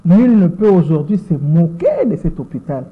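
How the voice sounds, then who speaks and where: A woman in her sixties with a Congolese French accent, giving measured answers as an interview guest and often repeating words while she searches for them. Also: lowish voice, expressive, slightly rough. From Democratic Republic of the Congo. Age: 60s. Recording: tinny phone-like sound.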